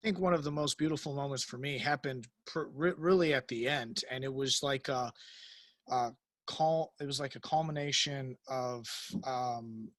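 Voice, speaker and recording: A man reminiscing on a video call in a halting, sincere and reflective way. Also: mid-range voice, no room sound. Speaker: cast member on a reunion panel.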